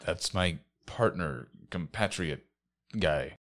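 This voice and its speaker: Tabletop player, man with a rumbling bass voice, casual improvised dialogue, plain and halting. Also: fairly bright tone. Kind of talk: casual improvised role-play.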